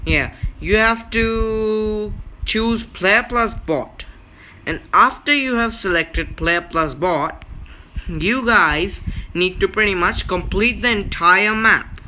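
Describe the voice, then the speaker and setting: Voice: medium pitch, slow pace, pushed and bright, a little hiss or hum, rough recording. A gaming video host giving a casual walkthrough, explaining emphatically with repeats and frequent check-ins. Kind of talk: casual gameplay walkthrough commentary.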